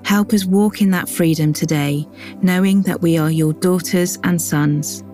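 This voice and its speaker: A woman giving a calm, measured scripted devotional reading that moves into a guided prayer. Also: fairly low pitch, faint music behind. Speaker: devotional reader.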